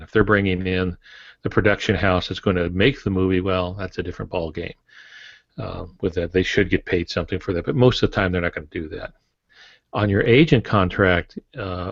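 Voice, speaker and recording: An American man in his sixties giving plain-spoken, halting advice in a conversational podcast style on a video call. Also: deep voice. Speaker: guest author and publishing expert. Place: home office, indoors. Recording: dull, roomy video-call audio.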